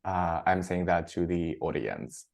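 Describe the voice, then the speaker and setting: A man's voice: low-pitched, lively intonation, unhurried, gravelly. A man moderating a webinar over a video call, thanking speakers and introducing the next one, with frequent fillers. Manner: casual, hesitant with fillers.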